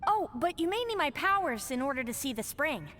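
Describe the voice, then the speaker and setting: Bright, high flower spirit in reverb. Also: female voice, big pitch swings, a little fast. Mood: gentle, helpful. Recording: long reverb, faint noise.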